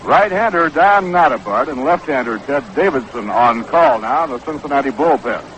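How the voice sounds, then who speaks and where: A man's voice: mid-range voice, lively intonation, moderate pace, a bit bright, lo-fi sound, slight background noise. An American man calling baseball play-by-play in the style of a 1960s live radio broadcast. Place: outdoor ballpark broadcast booth.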